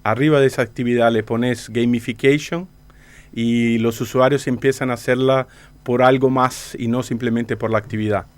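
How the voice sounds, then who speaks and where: A man from Brazil with a Brazilian accent and native Portuguese, giving halting explanatory interview answers. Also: medium pitch, steady tone. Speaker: interview guest, company director.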